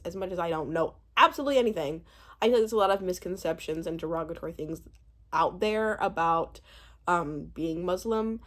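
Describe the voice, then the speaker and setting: A woman in her twenties giving a casual, chatty recap commentary, animated and rambling, often breaking off mid-thought. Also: fairly low pitch. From born in Uganda, spent part of childhood there. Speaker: YouTube host reviewing a reality show.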